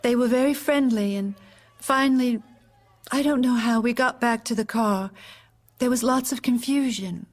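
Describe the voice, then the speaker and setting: Actress as a halting, subdued widowed First Lady. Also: a little high, lightly acted. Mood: subdued, hesitant. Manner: hesitant, halting, measured recollection. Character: widowed First Lady giving testimony, hesitant, subdued, composed. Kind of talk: audio drama acting, testimony.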